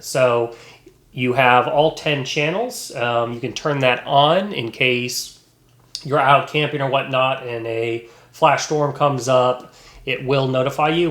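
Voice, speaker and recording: A man's voice: medium pitch, fairly bright tone. Man reviewing a product in an echoey room. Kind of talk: casual unscripted product review. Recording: echoey, roomy sound.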